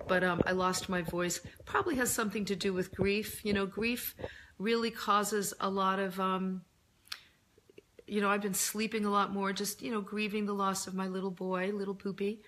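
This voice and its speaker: A middle-aged woman with a hoarse, deeper-than-usual voice from laryngitis, hosting a casual live chat and answering readers' comments in a gentle, reflective way with many pauses and ums. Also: level tone, a little hiss or hum, low-quality recording.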